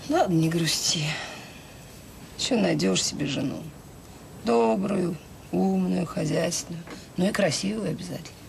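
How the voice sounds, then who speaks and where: An actress playing a weary middle-aged children's home worker in a radio drama, plain-spoken, wry and self-deprecating. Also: female voice, on the low side, moderate pace, creaky, long pauses, darkish tone. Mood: gently teasing. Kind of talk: radio drama acting, intimate conversation. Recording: hissy old audio play recording.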